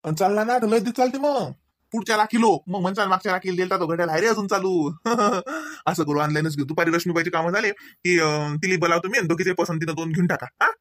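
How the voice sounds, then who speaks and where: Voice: medium pitch, fast talker, fairly bright tone, no room sound, studio quality, lightly acted. An actor voicing an eager, polite, easygoing college-age young man in a comedy cartoon, speaking colloquial Marathi with a Varhadi accent. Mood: eager, reassuring. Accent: Varhadi Marathi from Vidarbha. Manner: colloquial, obliging, reassuring.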